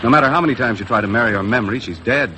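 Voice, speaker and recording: An American actor playing a tough, blunt, world-weary charter boat skipper in 1950s radio drama style, terse and hard-boiled. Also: male voice, medium pitch, racing, bright, forceful tone, natural voice. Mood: firm, blunt. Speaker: lead actor and narrator of a radio adventure. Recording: noisy vintage 1950s radio recording.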